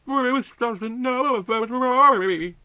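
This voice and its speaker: A man's voice: extremely high pitch, slow, pushed and bright, clear, resonant tone, no echo, pitched above the performer's own voice, exaggerated voice. A man voicing a fretful, melodramatic woman in an overwrought, pleading manner for a comic story. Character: fretful, blurting, melodramatic young woman with a secret lover.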